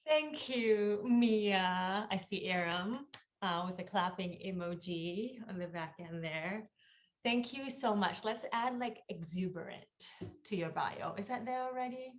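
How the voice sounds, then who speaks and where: A young woman from Malaysia whose first language is Malay, telling her personal story on a video call in a warm, unhurried way with many ums and uhs. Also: mid-range voice. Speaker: workshop facilitator.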